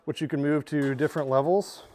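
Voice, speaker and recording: A man's voice: on the high side, fairly even intonation, fairly quick, darkish tone. A man in his thirties casually walking through products in a large indoor showroom, chatty with lots of "um" and "right?". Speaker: store product presenter.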